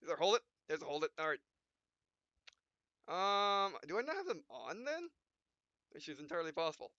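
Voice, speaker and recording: A man with a high, bright voice plays through a visual novel. He reads the on-screen lines aloud, even the punctuation marks, in a half-joking dramatic tone. Between the lines he adds loose, casual comments. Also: moderate pace, no echo, lo-fi sound. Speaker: let's play gamer. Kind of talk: reading game text aloud with casual commentary.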